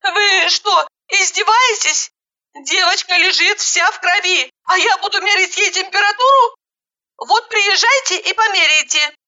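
A woman voicing a frantic, sharp-tongued woman in an audiobook, shrieking and indignant. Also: extremely high pitch, measured pace, highs cut off, voice pushed up, exaggerated voice.

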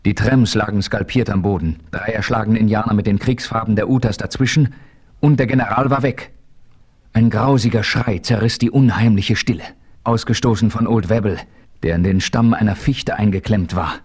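A man playing the calm, authoritative hero who narrates in the first person, in a radio play. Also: on the low side, moderate pace, husky.

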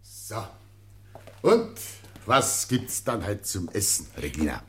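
A man's voice: lowish voice, wildly swooping pitch, husky, full character voice. An actor playing a jovial, pleasure-loving Bavarian village notary in a radio play, teasing and drawing out his phrases. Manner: leisurely, jocular, drawn-out phrasing.

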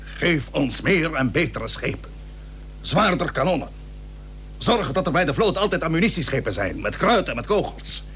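An actor playing a blunt, dutiful middle-aged admiral in a period radio drama, speaking earnest, formal, old-fashioned Dutch. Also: male voice, a little high, big pitch swings, normal speed, a bit bright. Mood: firm, demanding. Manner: plain-spoken, earnest, formal. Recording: humming, noisy old radio recording.

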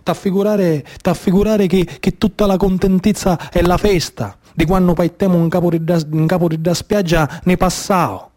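A man's voice: high-pitched, steady tone, moderate pace, hardly any pauses, a little mellow, close to an everyday voice. A man playing a desperate, exhausted migrant adrift at sea, with a Sicilian accent and urgent, repetitive phrasing, in a theatrical radio monologue. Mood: bitter, anguished.

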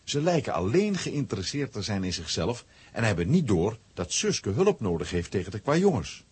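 A man narrates a children's story in a very low voice. It is strikingly husky, and the pitch moves in lively swings. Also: moderate pace. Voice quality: very husky, rough.

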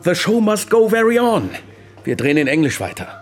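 An actor playing a slick, self-assured film producer with a smooth-talking, salesmanlike manner in a radio drama. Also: male voice, high voice, very animated, a little fast, faint music behind. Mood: brisk, upbeat.

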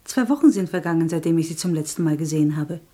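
Wistful young woman, lowish mellow voice, breathy, restrained, gently reproachful, radio play. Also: lively intonation, fast talker. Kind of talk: intimate radio drama dialogue.

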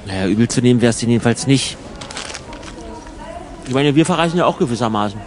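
A man's voice: medium pitch, lively intonation, quick pace, a little mellow, slight background noise, close to an everyday voice. A man playing a matter-of-fact traveller who explains things eagerly in a conversational radio play.

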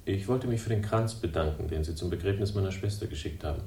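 An actor playing a weary, wry sleuth who narrates a 1960s German radio crime drama in a laconic, dryly sardonic way. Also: male voice, deep voice, fast, warm tone, slight background noise. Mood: guarded.

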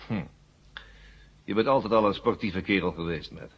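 An actor playing a reluctant captive adversary in a 1970s radio drama, grudging and bitter yet respectful. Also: male voice, low voice, normal speed, breathy edge. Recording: muffled old radio drama recording.

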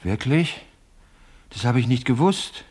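An actor in a radio play as a scheming businessman who wheedles self-importantly and is quick to lose patience. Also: medium pitch, very animated, a little mellow, slightly rough. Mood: wheedling. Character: scheming, hot-headed businessman haggling with a ghost.

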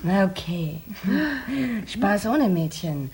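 A woman's voice: fairly low pitch, slow, heavy background noise. A woman playing a boastful, flirtatious space crew worker telling a playful tale in a radio drama. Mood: flirtatious, bragging. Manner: playful storytelling. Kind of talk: science fiction radio drama.

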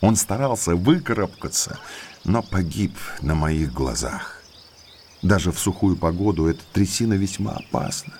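Casting: a courteous, deliberate naturalist and moorland guide, deep register, enigmatic air, audiobook drama. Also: male voice, animated, a little mellow, slight background noise. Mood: grave warning. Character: well-mannered male naturalist, eager guide to eerie moors. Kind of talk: Russian audiobook drama acting.

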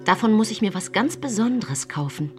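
An actress playing an impoverished, bitter widow turned vengeful poisoner in a radio play, with an intense, confessional delivery. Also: female voice, mid-range voice, expressive, brisk, loud music behind the voice, close to an everyday voice. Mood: secretive. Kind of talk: dramatic radio play monologue.

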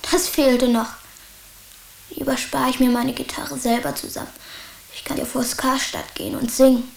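A young schoolboy in a radio play, with a high voice and colloquial, childlike directness. He can be sulky or eager, and the sound is somewhat roomy. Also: flat delivery, moderate pace, gravelly. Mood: sulky, defiant. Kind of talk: German radio play acting.

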